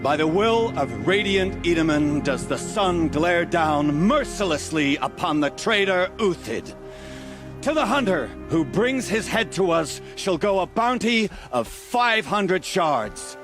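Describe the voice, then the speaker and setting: High-pitched, pompous royal herald. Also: male voice, very animated, unhurried. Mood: pompous, merciless. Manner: grand, declamatory, ceremonial. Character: pompous male royal herald proclaiming for a sun king. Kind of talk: dramatic video game acting. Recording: loud music under the voice.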